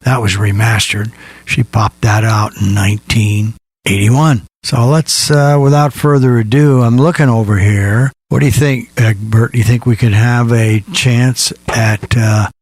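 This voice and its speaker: A man's voice: mid-range voice, fairly even intonation, a little slow, husky, dry recording. A man hosting a music radio show in a casual, rambling, folksy style with asides to his co-hosts.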